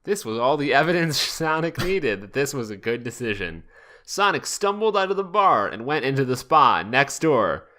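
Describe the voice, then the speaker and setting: A man hosting a podcast, reading fan fiction aloud in a jokey, deliberate way with frequent asides. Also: mid-range voice, big pitch swings, sharp tone, a little hiss or hum. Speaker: podcast host.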